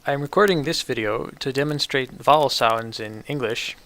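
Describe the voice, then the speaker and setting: A man with a New York City American accent gives an instructional pronunciation demonstration, enunciating words precisely and deliberately. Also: medium pitch, a bit bright. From New York City, United States. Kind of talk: instructional demonstration with word lists.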